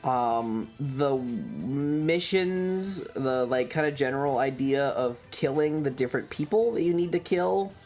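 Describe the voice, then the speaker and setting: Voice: mid-range voice, lively intonation, unhurried, hardly any pauses, a little mellow, faint music behind. A video game streamer chatting casually in a rambling, blunt way that is peppered with swearing. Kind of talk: casual livestream commentary.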